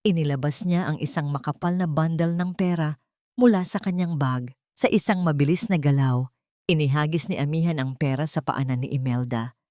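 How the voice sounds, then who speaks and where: A woman's voice: low-pitched, moderate pace, mellow, dry recording, studio quality. A woman reading a scripted story as a dramatic audiobook narrator.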